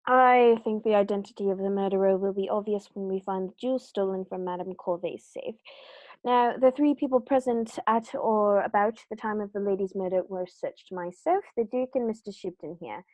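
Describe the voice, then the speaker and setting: A woman's voice: mid-range voice, normal speed, a little mellow, dry recording, lightly acted, rough recording. A woman playing a confident, incisive detective, courteous and precise, in an amateur radio play. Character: confident, incisive male detective on trial, politely accusing.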